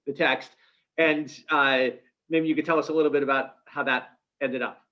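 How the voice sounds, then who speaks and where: A man interviewing an author, asking polite questions with many soft fillers. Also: on the high side, fairly even intonation, normal speed. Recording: dull lo-fi audio.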